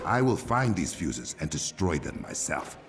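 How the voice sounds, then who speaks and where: A man's voice: sits low, big pitch swings, a little slow, over music, heavily acted. An actor playing a proud, stern warrior king with an African accent, formal and commanding, in a dramatic video game. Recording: loud game score behind.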